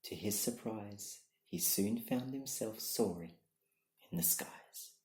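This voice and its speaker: A young adult reading bedtime stories in a soft-spoken, partly whispered ASMR style, with a gentle, deliberate and hushed delivery. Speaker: ASMR storyteller. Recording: low-quality, echoey room recording.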